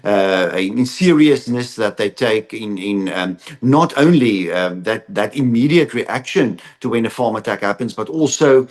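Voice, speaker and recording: A man with a South African accent giving explanatory interview answers, in halting phrases with repeated words. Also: on the low side, expressive, darkish tone. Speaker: interview guest, advocacy organisation representative.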